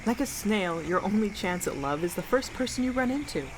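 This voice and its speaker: A woman's voice: medium pitch, animated, a little fast, natural voice. A young woman playing a sardonic, blunt homeless survivor of the apocalypse in an audio drama. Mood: mocking. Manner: sarcastic, blunt, slangy. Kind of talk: radio drama monologue. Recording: clearly noisy, ambient background noise.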